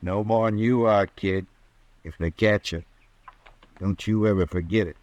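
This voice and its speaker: A man's voice: lowish voice, steady tone, a little slow, a little mellow, muffled recording, natural voice. A man playing a cold-blooded, scheming cowboy killer with a curt, folksy western drawl in an old-time radio western. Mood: cold, menacing.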